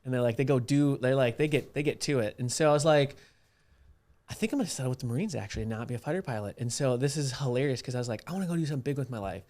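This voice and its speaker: A man's voice: mid-range voice, rapid-fire, darkish tone. A man hosting a podcast, telling stories casually in informal, slangy speech. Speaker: podcast host. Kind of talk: casual chat, animated storytelling.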